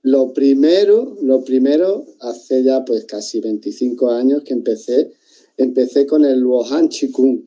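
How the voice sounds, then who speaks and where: A man's voice: medium pitch, lively intonation, a little slow, mellow, poor audio. A man from southern Spain with an Andalusian accent, talking as an interview guest and telling a long story with many restarts over a video call. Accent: Andalusian Spanish. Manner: rambling, restarts often, thoughtful. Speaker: interview guest, martial arts teacher. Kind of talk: casual interview chat.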